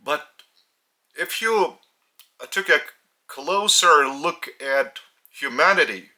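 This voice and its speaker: Slow, bright-voiced Lithuanian man teaching. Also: on the high side, animated. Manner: halting, phrase-by-phrase delivery with pauses. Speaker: spiritual teacher. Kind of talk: slow spiritual teaching talk.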